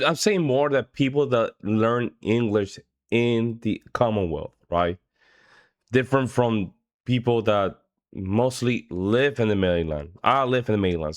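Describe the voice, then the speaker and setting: A man's voice: medium pitch, no room sound, studio quality. A man hosting a reaction video, commenting reflectively and thinking aloud.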